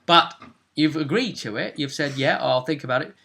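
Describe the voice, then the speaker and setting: A man speaking as game master and host, casually recapping a tabletop roleplay session in an animated, explanatory manner. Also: on the high side, moderate pace, creaky.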